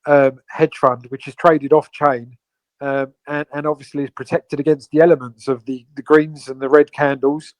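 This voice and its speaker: A man's voice: fairly high pitch, level tone, normal speed, no room sound, poor audio. A man from the UK, co-owner of a crypto project, explaining it at length in an online Q&A with many fillers and restarts. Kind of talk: AMA guest explaining a project.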